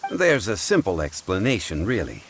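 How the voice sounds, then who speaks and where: An actor playing a calm, erudite gentleman in a video game, speaking formally and politely with quiet confidence. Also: low voice, normal speed, a little hiss or hum, full character voice. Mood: composed. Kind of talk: video game character acting.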